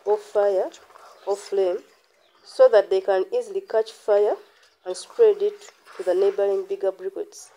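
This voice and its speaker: A young woman explains a task step by step in a calm, instructive way. Her voice is mellow and warm at an ordinary pitch. It is recorded outdoors on a phone. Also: measured pace, poor audio. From Uganda. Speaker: channel host. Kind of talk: how-to voice-over.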